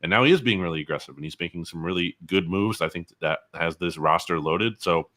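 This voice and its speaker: Guy with a really deep but sharp voice, guest analyst chatting sports, full of opinions. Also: a little fast. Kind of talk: sports talk interview commentary.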